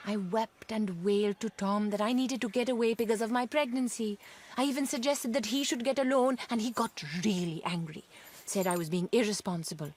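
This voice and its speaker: A woman's voice: a little high, close to an everyday voice. Woman playing coquettish young wife, noisy radio drama. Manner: theatrical, coquettish, excitable. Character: proud, girlish young Indian wife with a secret.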